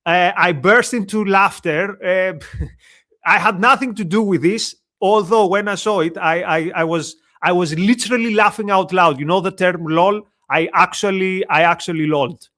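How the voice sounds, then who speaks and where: A man with a Greek accent chatting and telling stories casually as a co-host, in a halting, word-repeating way. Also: high voice, sharp tone. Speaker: show co-host. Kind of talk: casual chat and storytelling.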